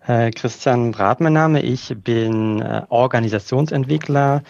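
A man from Berlin, guest on a podcast, explaining his career in a measured way with filler sounds. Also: mid-range voice, gravelly, darkish tone, no echo. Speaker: guest, organizational developer. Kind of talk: casual interview. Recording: dull lo-fi dry sound.

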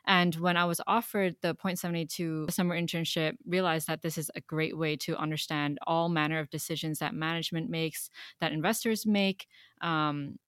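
A young woman from Hong Kong answers interview questions thoughtfully, pausing now and then. Her voice is notably low for a woman, and the recording is dry. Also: steady tone, a bit bright, smooth, dry recording. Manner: thoughtful, with some hesitations. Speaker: analyst guest.

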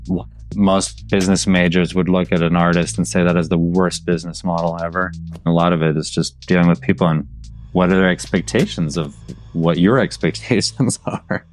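A middle-aged man who grew up in southern Germany, talking informally as an artist in an interview and telling stories with bits of quoted dialogue. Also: sits low. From raised in southern Germany. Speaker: installation artist, podcast guest. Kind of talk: casual conversational storytelling.

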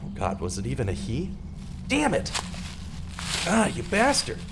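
An actor playing a distraught, self-blaming man who narrates his own story in a halting, repetitive, dramatic way, as in an audio drama. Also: medium pitch, huge pitch swings, background noise. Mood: frustrated, weary. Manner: dramatic, halting, repeats himself. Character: distraught, self-blaming male radio man turned amateur pursuer. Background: music.

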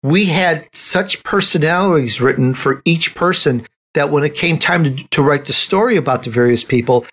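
A man's voice: fairly high pitch, moderate pace, few pauses, a bit bright. A man hosting a conversational interview, talking as an author among fellow writers. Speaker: interview host and nonfiction author.

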